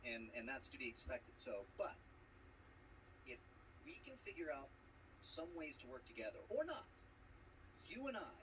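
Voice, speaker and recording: A man speaks casually and persuasively as a competitor to a partner. His middling voice moves up and down a lot, and the recording has obvious noise. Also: mid-range voice. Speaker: team competitor. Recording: clearly noisy background.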